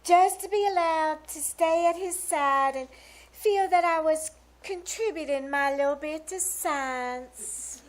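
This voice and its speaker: A woman playing a flirtatious, coyly helpless widow who gushes and flatters in a 1940s radio comedy style. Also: sits high, slow, rough recording. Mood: gushing. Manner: gushing, coy, wheedling. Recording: live theater stage performance.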